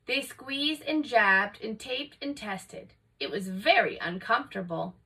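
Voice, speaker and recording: A woman reading a children's picture book aloud in an expressive, dramatic storytelling manner. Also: a little high, a little slow, slightly rough, far from the mic. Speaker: storybook reader.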